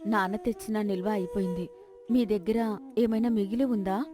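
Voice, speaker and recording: Brief: female narrator as brave storybook girl, earnest, reassuring, mid-pitched, music underneath. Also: steady tone, moderate pace, warm tone, close to an everyday voice. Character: brave, determined young village daughter, respectful. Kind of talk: acted folk-tale dialogue.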